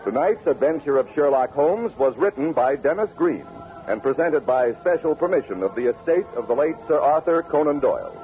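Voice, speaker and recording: An American man announcing and pitching in 1940s radio style, polished and emphatic. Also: expressive, normal speed, soft background music. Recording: tinny, scratchy old radio recording.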